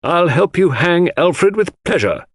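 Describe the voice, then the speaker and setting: Man acting a gruff, blunt older English woman, pitched high. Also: very animated, unhurried, dry recording, exaggerated voice. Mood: gruff indignation. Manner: clipped, blunt, forceful sentences. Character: gruff, blunt, loyal middle-aged English woman, indignant. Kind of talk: audiobook character voice.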